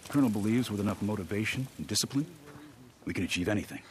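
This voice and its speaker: An actor playing a disciplined militia soldier in a video game, speaking in a clipped, matter-of-fact way. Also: male voice, lowish voice, rapid-fire, a little hiss or hum, lightly acted. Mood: businesslike. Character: curt, disciplined, loyal militia soldier escort.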